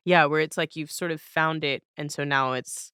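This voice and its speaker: A woman with a clear, smooth voice in a dry, close-sounding space. She hosts a casual interview in a reflective, encouraging manner, feeling her way through her phrasing. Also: low voice, fairly even intonation, normal speed, sharp tone, no echo. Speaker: podcast host.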